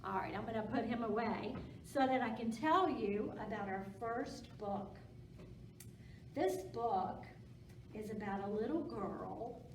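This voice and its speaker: A woman presenting a storytime for young children and reading aloud, speaking simply and encouragingly. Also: mid-range voice. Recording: roomy echo, distant mic, background noise.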